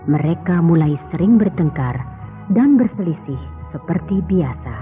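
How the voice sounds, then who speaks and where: A woman giving scripted narration for a radio drama serial. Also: fairly low pitch. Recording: tinny old radio sound, music behind.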